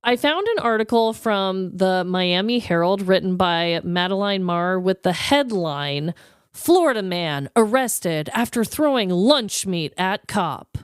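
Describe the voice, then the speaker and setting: A woman's voice: mid-range voice, expressive, unhurried, smooth, dry recording, studio quality. A woman co-hosting a comedy podcast, chatting casually in a playful, slangy, giggly manner. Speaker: podcast co-host.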